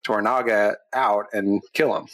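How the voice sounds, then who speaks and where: A man's voice: on the low side, fairly even intonation, moderate pace, fairly bright tone, rough recording. A man in casual podcast chat, explaining at length with plenty of ums and uhs. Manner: explains history at length with many fillers. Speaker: podcast co-host.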